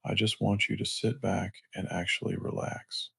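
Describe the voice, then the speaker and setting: A man acting a chivalrous romance-drama hero with a very gravelly, husky low voice. He speaks evenly and earnestly, reassuring and measured, in a protective way. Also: level tone, pushed and bright, no room sound, heavily acted. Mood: easygoing. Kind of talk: romance audio drama dialogue.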